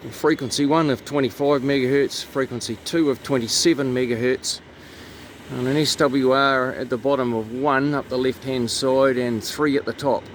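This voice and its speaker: A man giving a matter-of-fact, slightly grumbling hands-on commentary as a technician at work in a truck. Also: medium pitch. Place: inside a truck cab and on its roof. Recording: steady background noise, vehicle ambience.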